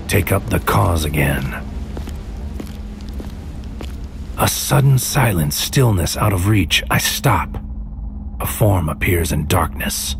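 Grim psychic soldier, really low voice, clipped trancey spoken word, sci-fi audio drama w loud music under it. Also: male voice. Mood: grim, watchful. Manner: clipped, cryptic fragments, incantatory delivery. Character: male psychic soldier on a mission into another's mind, grim, intense. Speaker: narrator and lead character.

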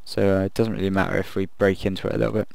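Croaky young man musing over a game. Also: low-pitched, little pitch movement, racing, darkish tone, dry recording, studio quality. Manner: rambling, thinking aloud, self-deprecating. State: croaky voice late at night. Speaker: gaming video creator. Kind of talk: casual commentary over a building game.

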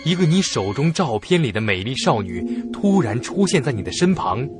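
A man's voice: medium pitch, sing-song, normal speed, over music. A man narrating a suspense radio drama in scripted storytelling style.

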